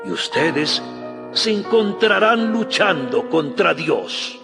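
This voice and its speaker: A dignified Pharisee law teacher orates in a high voice with sweeping intonation. His delivery is slow and persuasive, made for a Bible audio drama with music underneath. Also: sing-song, vocal fry, heavily acted. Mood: earnest counsel. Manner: solemn, deliberate oratory. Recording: long hall reverb under music.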